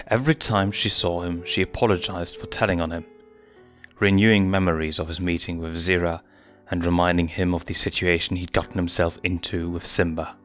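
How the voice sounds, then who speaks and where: A man's voice: sits low, fairly bright tone. A man reading a fan fiction story aloud as a scripted narrator.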